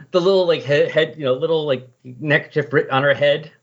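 Guy cohosting a comics podcast, bit raspy, rambly chat, muffled lofi audio. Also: a little high, a little slow, a bit bright. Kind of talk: casual chat about comics.

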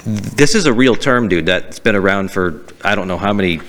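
A young man from outside Boston with a light Boston accent, co-hosting a casual podcast, crude and animated, laughing easily. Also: lowish voice, normal speed. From New England, outside Boston. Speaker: podcast co-host. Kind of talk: casual banter.

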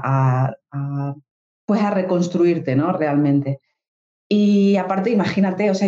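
Andalusian woman in her 30s, psychologist guest, mellow voice, echoey muffled room sound. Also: lowish voice. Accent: Andalusian Spanish. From Spain. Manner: reflective, frequent tag questions. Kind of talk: casual interview talk.

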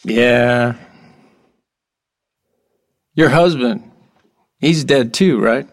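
A man acting in an audio drama as an odd, playful, lonely middle-aged workman, whimsical and halting, with earnest oddball candor. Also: mid-range voice. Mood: wistful, confiding.

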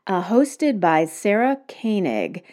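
A woman hosting her own podcast, talking in a gentle, conversational way from a cottage in the woods. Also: medium pitch, very animated, slow. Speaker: podcast host. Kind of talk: solo podcast talk.

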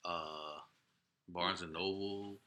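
A man's voice: low-pitched, fairly even intonation, extremely slow, bright, forceful tone. A tired man co-hosting a podcast in casual chat, laid-back with short, dry replies. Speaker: podcast co-host.

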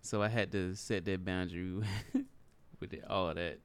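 Male podcast co-host, very low, smooth, easygoing, dry room. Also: steady tone, measured pace, dry recording. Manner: easygoing, agreeable, lots of fillers. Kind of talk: casual podcast chat.

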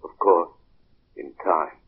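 A man playing a sly, mocking villainous gentleman and false friend in an old-time radio drama, suave and theatrical. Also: low voice, slow pace, husky, heavily acted. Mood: falsely soothing. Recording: hissy, phone-like vintage radio recording.

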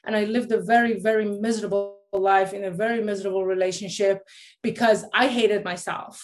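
Woman, late thirties, from iran, halting, restarts often, candid personal story. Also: medium pitch, fairly even intonation, music in the background. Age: late 30s. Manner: halting, with many restarts and fillers. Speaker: guest, life coach.

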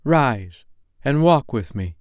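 A man reading aloud voices a gentle spirit, lifting his pitch and speaking calmly and solemnly. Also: slow, no room sound, studio quality. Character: gentle, mild ghost guiding an old man. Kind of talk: audiobook character voice.

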